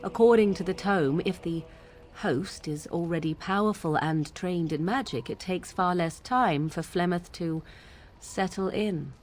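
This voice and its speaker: A proud young witch played by an actress talks in a fairly low voice with music underneath. Her delivery is haughty and deliberate, full of sardonic edge and old-fashioned wording, in the manner of fantasy game drama. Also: a little mellow, lightly acted. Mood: troubled, brooding. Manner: haughty, formal, archaic diction, deliberate. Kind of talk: dramatic video game dialogue.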